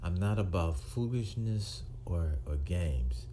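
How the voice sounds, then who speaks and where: A man in his sixties, a retired police officer, talking to camera in a halting, firm way with many ums and restarts. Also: deep voice, expressive, mellow, slight background noise. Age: 60s. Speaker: retired police officer turned video maker. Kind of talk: unscripted monologue to camera.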